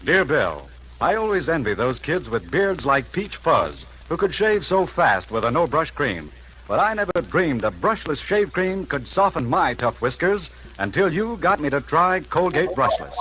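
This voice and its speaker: A man's voice: fairly high pitch, sing-song, moderate pace, fairly bright tone, slight background noise, rough recording. A man reading a scripted testimonial letter in the style of a 1940s radio advertisement.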